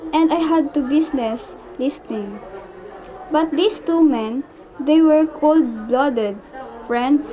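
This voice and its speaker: A woman's voice: high-pitched, dark tone, dull audio. A woman playing an anxious, sickly, demanding housewife in an amateur radio play, fretful and insistent. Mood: horrified, urgent.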